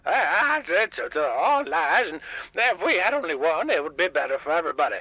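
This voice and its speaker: Stubborn elderly male caller, acted by a man, bright forceful rasp, huge pitch swings, grumpy folksy rambling, radio filter. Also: very animated, fairly quick, dry recording, highs cut off, exaggerated voice. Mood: indignant, bitter. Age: older. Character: grumpy, stubborn old male diner owner. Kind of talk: comedic radio drama guest. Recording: dry, band-limited radio call-in line.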